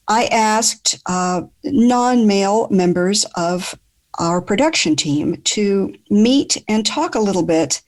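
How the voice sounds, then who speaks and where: A woman in her sixties hosting a podcast and moderating a group discussion in a measured, articulate way. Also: lowish voice, darkish tone. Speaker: podcast host.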